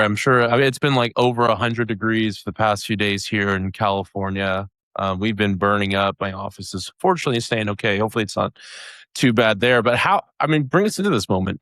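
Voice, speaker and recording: An upbeat man hosts a remote interview, stringing loose questions together in a relaxed way. His voice sits low, and the recording is dry and close with no room sound. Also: no echo. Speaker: podcast host. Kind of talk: casual interview chat.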